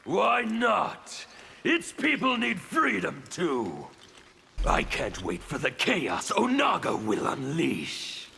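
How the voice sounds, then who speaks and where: Fanatical chaos warrior, fighting game, gravelly rasp, slow taunting delivery, noisy hall reverb. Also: male voice, sits high, huge pitch swings, pushed and bright, full character voice. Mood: fervent. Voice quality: gravelly, harsh, strained rasp. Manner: theatrical, defiant, taunting. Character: fanatical male anarchist warrior preaching chaos and freedom. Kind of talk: fighting game character acting. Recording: reverberant hall, background noise.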